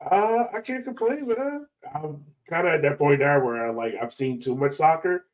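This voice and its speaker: A man's voice: a little high, lively intonation, normal speed. Casual male podcast host, slangy and informal. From Jamaican heritage. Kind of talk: casual interview chat.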